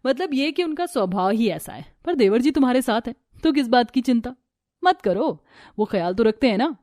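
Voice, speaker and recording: A woman's voice: on the high side, animated, moderate pace, darkish tone, no echo, studio quality, natural voice. Woman as a reassuring, earnest elder sister-in-law. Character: kind, sensible elder sister-in-law, protective and persuasive.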